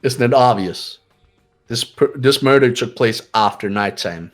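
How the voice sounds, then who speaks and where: A man's voice: medium pitch, unhurried, faint music behind, close to an everyday voice. A man voicing a cool, commanding young man who questions and explains formally in a visual novel game. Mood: matter-of-fact, superior. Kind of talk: amateur visual novel voice acting.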